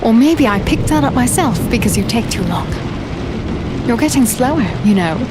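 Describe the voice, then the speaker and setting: An actress playing a plucky, wry female archer and loyal companion in a dark fantasy audio drama. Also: a little high, expressive, moderate pace, over loud music, close to an everyday voice. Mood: teasing. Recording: storm noise behind voice. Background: rain and thunder.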